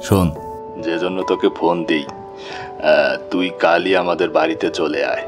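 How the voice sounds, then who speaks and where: A man playing a friendly village uncle in animated ghost story dialogue. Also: sits low, unhurried. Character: friendly uncle back from years abroad. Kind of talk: cartoon dialogue. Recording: music bed behind the voice.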